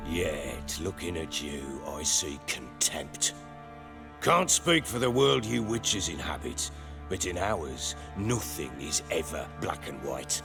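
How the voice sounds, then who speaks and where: An actor playing a gruff, bitter, hot-tempered middle-aged baron and soldier in a video game, coarse and blustering in his talk. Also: a bass voice, big pitch swings, slow, over music, exaggerated voice. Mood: bitter, defensive. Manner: coarse, blustering, profane storytelling. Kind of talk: dramatic video game acting.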